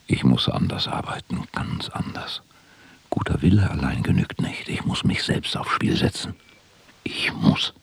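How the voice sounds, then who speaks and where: An actor playing a courteous, driven state prosecutor in a radio play, formal and polite in manner. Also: male voice, rumbling low, big pitch swings, unhurried, gravelly, husky, whispered, heavily acted. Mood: grim, resolved. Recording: loud music behind the voice.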